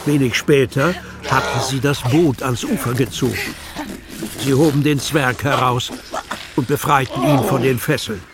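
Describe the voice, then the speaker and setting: An actor narrating a children's fantasy adventure as a measured, descriptive storyteller. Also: male voice, medium pitch, a loud crowd, close to an everyday voice. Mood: measured storytelling. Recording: music behind the narration.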